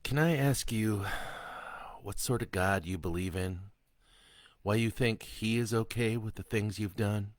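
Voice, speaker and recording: A man's voice: on the low side, a little slow, dry recording, pitched above the performer's own voice, natural voice. A man voicing a grave, shaken priest. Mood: wary. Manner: grave, stern, restrained.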